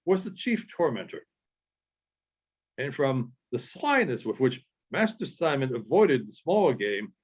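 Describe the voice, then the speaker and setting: A man with a husky, slightly high voice reads literary prose aloud in a dull, muffled recording. His delivery is measured and a little halting, phrase by phrase, with a lively rise and fall. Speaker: audiobook reader. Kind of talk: literary narration read aloud.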